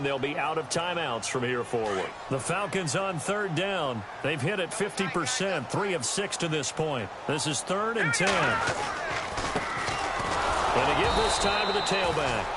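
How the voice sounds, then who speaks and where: A man's voice: a little high, natural voice. Man as an American football play-by-play announcer, noisy broadcast. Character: male TV football play-by-play announcer, brisk and professional. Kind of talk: sports commentary in a video game.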